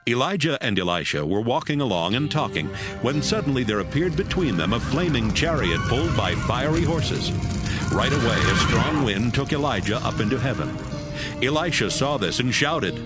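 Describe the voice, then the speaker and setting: A man's voice: mid-range voice, sing-song, moderate pace, over loud music. A man giving scripted narration for a dramatized audio Bible.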